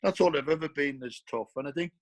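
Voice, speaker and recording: A man's voice: on the high side, fast. Earnest Irish man telling his story. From Ireland. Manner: earnest, emphatic, repeats key phrases. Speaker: guest, former boxer. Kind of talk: casual interview.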